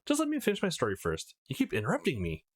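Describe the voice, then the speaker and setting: A man's voice: on the high side, wildly swooping pitch, quick pace, voice pushed up. Man putting on a brash, swooping teenage girl. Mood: cheeky, teasing. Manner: cheeky, crude, swaggering. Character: brash, foul-mouthed redheaded teenage girl, teasing and bragging. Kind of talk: reading visual novel dialogue aloud.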